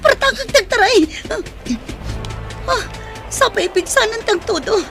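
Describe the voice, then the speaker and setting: A woman's voice: very high-pitched, a little slow, creaky, a bit bright, faint music behind, full character voice. A woman playing a frightened, devoted younger rebel on the run in an emotional radio drama. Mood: anguished, desperate.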